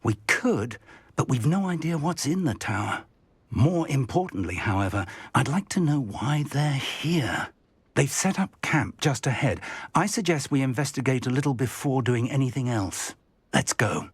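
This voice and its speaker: An older man voicing a calm, careful wizard mentor who speaks slowly and deliberately. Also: medium pitch, slightly rough, dry recording.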